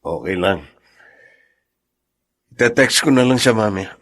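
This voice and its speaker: Man acting tender patient dad, Filipino accent, very low husky voice, slow, close dry sound, drama. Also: expressive, a bit bright, dry recording. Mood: patient, earnest. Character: tender, patient young father and uniformed serviceman. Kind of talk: Tagalog melodrama acting.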